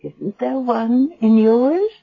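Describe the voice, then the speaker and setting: Slow, husky frail old grandmother. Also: a little high, expressive, full character voice. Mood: gently probing. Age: older. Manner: slow, gentle, halting delivery. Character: frail, tender, blind dying grandmother. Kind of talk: radio drama acting. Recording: dull old 1970s radio broadcast.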